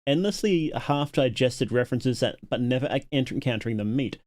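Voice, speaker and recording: Male streamer, mid-pitched, thinking out loud in casual reaction commentary. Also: a little fast. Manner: muses aloud, reads viewer comments. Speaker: livestream host reacting to a horror series.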